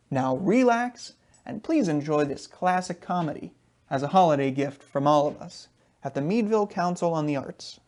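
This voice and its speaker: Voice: mid-range voice, darkish tone. An announcer reading a scripted introduction and credits in a formal presenting manner.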